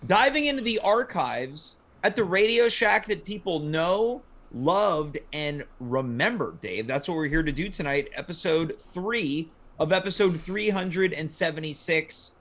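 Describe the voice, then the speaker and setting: A man's voice: on the high side, animated, a little slow, hardly any pauses, fairly bright tone. A man hosting a casual live comedy talk show, with playfully grand announcer-like phrasing.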